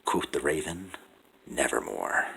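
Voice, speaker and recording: A deep-voiced man reads a poem aloud in a husky, breathy tone. His delivery is hushed and dramatic, sinking to whispers and then swelling with intensity. The voice has a bright, forceful edge despite its low pitch. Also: very animated, measured pace, rough recording. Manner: hushed, often whispering, swelling to loud pleading. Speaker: poetry reader. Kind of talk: dramatic poetry recitation.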